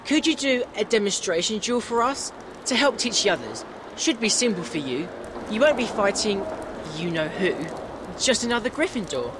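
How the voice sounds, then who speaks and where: A man's voice: sits high, sing-song, normal speed, noisy background. An actor playing an enthusiastic British schoolboy duelling captain in a video game, commanding and showman-like. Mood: eager, businesslike. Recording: game audio with loud music behind.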